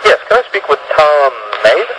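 A man's voice: a little high, big pitch swings, normal speed. Hesitant man on very noisy phone. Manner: hesitant, stammering, polite. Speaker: telemarketer. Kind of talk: unscripted phone conversation. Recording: very noisy recorded phone call.

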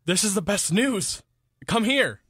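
An actress playing an attentive, caring spouse in a radio play, earnest and direct in conversation. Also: female voice, medium pitch, expressive, unhurried, breathy edge, dry recording, studio quality. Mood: elated. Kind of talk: radio play acting, domestic dialogue.

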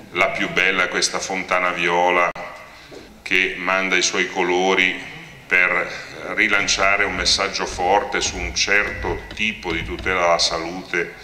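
A man with a very low, sharply bright voice gives opening remarks at an event, telling a story and retelling past conversations. He stands holding a handheld mic in a large hall, and his voice rings out with a long reverb. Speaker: consumer association representative.

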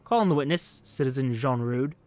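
A man's voice: mid-range voice, expressive, fairly quick, a little mellow, faint music behind, natural voice. A man voicing a stern revolutionary judge in a game, formal, commanding and probing. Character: stern male revolutionary judge questioning a defendant.

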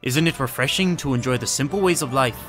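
A man's voice: medium pitch, fast talker, fairly bright tone, soft background music, natural voice. A man playing a genteel, cheerfully oblivious doctor from the past in an audio drama, formal and enthusiastic in manner. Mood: delighted. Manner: formal, old-fashioned, enthusiastic.

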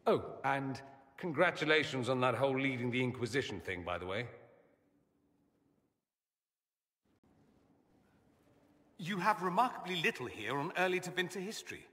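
A man's voice: mid-range voice, big pitch swings, fast talker, pushed and bright, big-room sound. An actor playing a witty, vain and charming young mage nobleman in video game dialogue, teasing and theatrical in manner. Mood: dry. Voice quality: arch, ironic wit. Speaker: mage companion.

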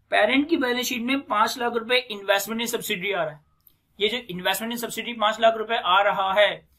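A man's voice: high voice, sharp tone. A man in his thirties with a Pakistani accent lecturing on accounting, methodically repeating key phrases and mixing in English finance terms. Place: indoors, recorded screen lecture at a desk.

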